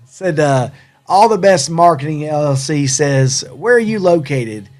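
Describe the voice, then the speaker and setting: A man's voice: a little high, animated, a little slow, faint music behind. A man from Tennessee with a Southern American accent, chatting casually as a livestream host in a rambling, jokey, self-deprecating way. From Tennessee, USA. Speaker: livestream host and hat company owner. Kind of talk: casual live chat with viewers.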